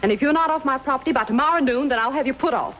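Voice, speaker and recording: An actress playing a haughty, hot-tempered young landowner in a 1950s western, curt and imperious. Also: female voice, high voice, racing, lightly acted. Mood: cold, imperious. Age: young adult. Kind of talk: 1950s television western acting. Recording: dull old film soundtrack, faint noise.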